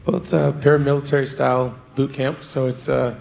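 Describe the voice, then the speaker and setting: Hoarse male church volunteer, gravelly, halting delivery, middle pitch. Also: flat delivery, a little mellow, a little hiss or hum. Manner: halting, full of ums and uhs. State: hoarse from yelling. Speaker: church volunteer leaving to train as a sheriff. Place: church sanctuary stage.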